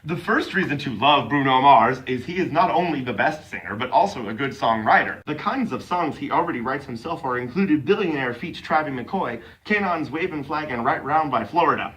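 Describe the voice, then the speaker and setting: A man's voice: mid-range voice, animated, brisk, few pauses, a bit bright, recorded from a distance, poor audio. A man giving a mock-serious dramatic reading of clumsily written text for comedy. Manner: tends to break into giggles.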